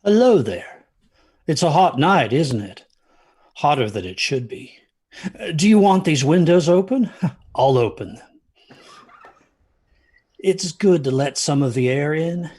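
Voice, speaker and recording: A man playing an uneasy parent in an audio drama, speaking in a halting, ominous, husky way that drops toward whispers. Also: fairly high pitch, animated, a little mellow. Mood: uneasy small talk. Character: uneasy parent warning children about haunted theaters.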